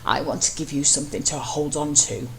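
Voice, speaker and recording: A woman voicing a confident, coaxing husband in a fan fiction reading, steady, gruff and commanding. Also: sits low, brisk. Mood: earnest. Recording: noisy amateur home recording.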